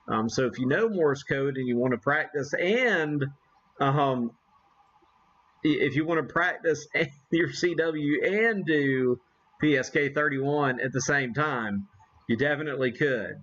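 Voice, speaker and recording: Man, mid-pitched voice, live stream host, casual demo chat, folksy, self-deprecating, slightly lo-fi sound. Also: fairly bright tone. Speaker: live stream host, amateur radio hobbyist.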